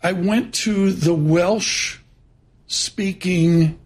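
Husky high-voiced man hosting. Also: fairly even intonation, drawn-out words, a little mellow. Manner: loose, chatty storytelling with asides. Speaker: morning show host. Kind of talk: casual chat.

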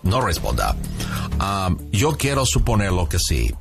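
A man's voice: sits low, wildly swooping pitch, unhurried, vocal fry, music in the background, heavily acted. A man playing a hesitant immigration officer. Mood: urgent. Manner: hesitant, drops in English phrases, many "um"s. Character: formal male immigration officer, apologetic.

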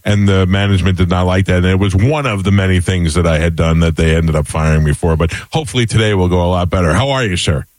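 Radio host: middle-aged man, low voice, dry close sound, rambling and self-mocking. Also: fast, few pauses, no room sound. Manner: rambling, self-deprecating, stumbling over words. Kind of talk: morning radio interview.

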